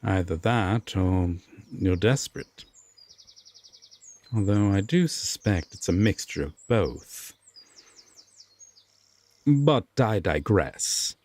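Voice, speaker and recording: A man playing an aloof, stern yet secretly tender Fae king in a roleplay audio drama, speaking formally and deliberately with long pauses. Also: on the low side, expressive, a little mellow, heavily acted. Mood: gentle, resigned.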